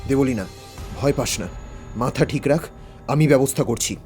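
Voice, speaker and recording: An actor playing a brave, grave older detective uncle in an audio story, speaking with protective authority. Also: male voice, mid-range voice, normal speed, a little mellow, soft background music, lightly acted. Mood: firm, calm. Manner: urgent, authoritative, reassuring. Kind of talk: Bengali audio story acting.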